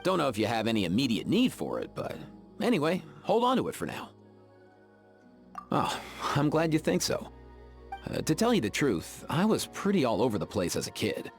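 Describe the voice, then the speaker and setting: An actor voicing an easygoing, friendly young veterinarian in a video game, with faint music behind. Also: male voice, medium pitch, wildly swooping pitch, normal speed, long pauses. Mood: reflective. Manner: easygoing and friendly, with frequent laughs. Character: laid-back young man, a veterinarian who keeps saurians. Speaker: game character. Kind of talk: game voice acting.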